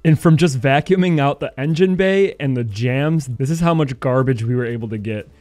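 A man's voice: medium pitch, normal speed, over music. A man giving a casual voice-over as host of a car detailing video.

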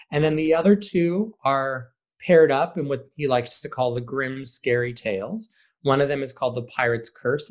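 Man, theater teacher, interview guest, conversational explaining, average-pitched voice.

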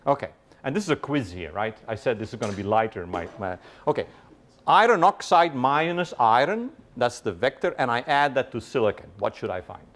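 A middle-aged man giving a university lecture in a large hall, lively and conversational, often checking in with "right?" and quizzing his audience. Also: mid-range voice, fairly quick. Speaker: guest lecturer.

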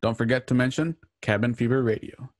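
A man's voice: mid-range voice, fairly quick, darkish tone, dry recording, clean studio sound. A man reading a scripted sponsor advertisement as an announcer. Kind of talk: scripted ad read.

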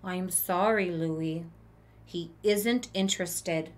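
A woman's voice: lowish voice, slow pace, pitched below the performer's own voice. A woman reading the part of a formal, kindly male school headmaster in a storybook, with an instructive manner. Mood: apologetic.

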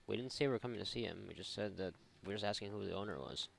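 A man's voice: fairly low pitch, very fast, vocal fry. A man doing casual, irreverent let's play commentary, mocking and thinking aloud. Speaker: gaming YouTuber.

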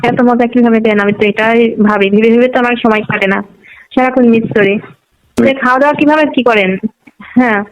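A woman's voice: a little high, steady tone, fast talker, clean tone. A woman with a Bangladeshi accent chats casually and intimately on a phone call.

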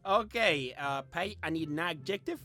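An excitable game host running a word game in casual banter with friends. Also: medium pitch, normal speed, faint music behind. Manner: excitable, exclaiming.

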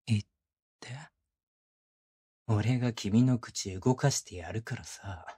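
A man playing a cold, sadistic detective, one of twins, condescending and menacing in a breathy, husky voice for a close-miked ASMR drama. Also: on the low side, takes it slowly, dark tone. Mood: menacing, taunting. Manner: condescending, coaxing then commanding. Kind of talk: Japanese situation voice drama, binaural ASMR. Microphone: close binaural microphone.

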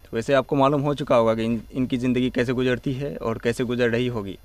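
A man's voice: mid-range voice, steady tone, fairly quick, no room sound. A man, reporting for a documentary from a village into a handheld microphone. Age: 20s. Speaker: field reporter. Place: outdoors and in open huts in a village. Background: music.

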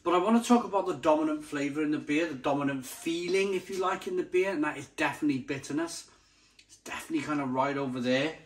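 A man's voice: a little high, fast talker. A man hosting a review channel, talking casually and unscripted to camera, savouring and repeating his descriptive words with enthusiasm. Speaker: beer review channel host.

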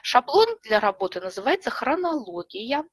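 A middle-aged woman presenting an online webinar from home, explaining a tool step by step in a friendly way with frequent pauses and fillers, a slight cough lingering. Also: sits high, very animated, no room sound. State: recovering from a cough. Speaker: webinar presenter, librarian and teacher. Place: home room with bookshelves. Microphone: webcam video call.

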